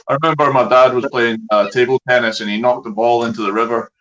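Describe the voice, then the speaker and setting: Scottish guy just chatting, laid back, medium pitch, bit muffled like an online call. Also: steady tone, brisk, fairly bright tone. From Scotland. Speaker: guest, outdoors video creator. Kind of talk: casual chat.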